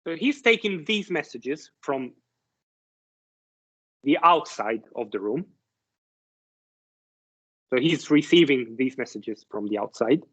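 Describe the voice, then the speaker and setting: A man giving a university lecture, explaining ideas haltingly with many restarts and fillers, often checking with his listeners. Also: medium pitch, lively intonation, fairly bright tone, breathy edge, dull audio.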